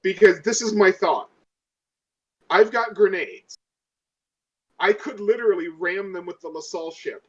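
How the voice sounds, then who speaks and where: A man's voice: high-pitched, unhurried, pauses often, highs cut off. A man chatting casually as a player in a tabletop game, insistent and restarting his questions.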